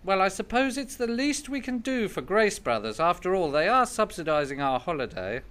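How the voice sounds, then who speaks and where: Stiffly superior floorwalker, man acting, high voice with lively swoops, pedantic, amateur radio comedy, faint noise. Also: normal speed. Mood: resigned dignity. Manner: pedantic, formal. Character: pompous, dignified male floorwalker, stiffly superior.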